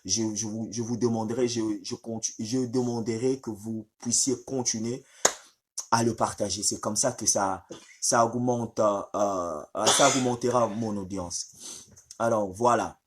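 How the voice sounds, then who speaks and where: A man with a head cold, hosting a casual live stream in a halting way with many fillers. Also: lowish voice, steady tone, noisy background.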